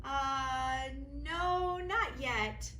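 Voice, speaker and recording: A woman voicing a hesitant, defensive twelve-year-old storybook girl who speaks haltingly. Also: high voice, sharp tone, pitched above the performer's own voice, heavily acted. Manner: reluctant, halting. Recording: roomy echo, faint background noise.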